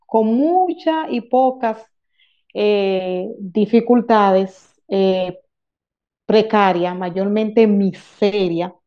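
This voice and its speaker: Dominican female guest speaker, unhurried, halting and emphatic, explaining from experience, slightly dull lo-fi sound. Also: medium pitch. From Dominican Republic. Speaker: guest speaker in a group discussion. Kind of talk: unscripted explanation of lived experience.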